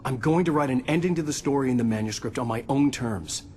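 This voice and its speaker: A man's voice: mid-range voice, fast, a little mellow, lightly acted. An actor playing a troubled, determined horror writer in a video game, a middle-aged American man with an earnest, brooding delivery. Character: troubled, determined male horror writer narrating his ordeal. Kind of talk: scripted first-person thriller narration and game dialogue.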